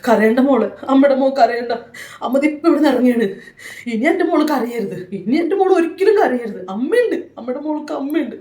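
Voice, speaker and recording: A woman's voice: high-pitched, animated, fast talker, warm tone, echoey room, far from the mic. A woman playing a loving mother who consoles her daughter over the phone and urges her not to give up. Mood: soothing. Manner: emotional, consoling, fiercely encouraging. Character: loving middle-aged mother, fierce and protective.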